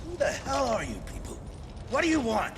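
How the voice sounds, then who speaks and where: An actor playing a wounded, cornered corporate man in a video game, loud, desperate and belligerent. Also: extremely high pitch, huge pitch swings, normal speed, heavy background noise, exaggerated voice. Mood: wary, strained. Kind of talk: dramatic video game acting.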